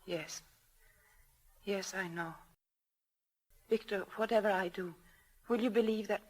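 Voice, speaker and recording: An anguished young wife played by an actress in a 1940s radio drama. She pleads in a breathy, hesitant way, torn between two loves. Her voice sits at a medium pitch, in an old broadcast recording. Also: measured pace, darkish tone, close to an everyday voice, poor audio. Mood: anxious, tender.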